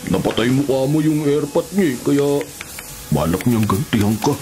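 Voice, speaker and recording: A man's voice: medium pitch, lively intonation, moderate pace, darkish tone, natural voice. An actor playing a loyal, scheming male convict who talks in an insistent, conspiratorial way in a radio drama. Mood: pressing. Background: music.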